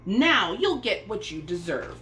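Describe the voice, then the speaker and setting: A woman's voice: mid-range voice, very animated, measured pace, fairly bright tone, echoey room, far from the mic. A woman voicing a cruel, scornful stepmother in a children's storybook, snapping and hissing her accusations. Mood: gloating. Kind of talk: children's storybook read-aloud.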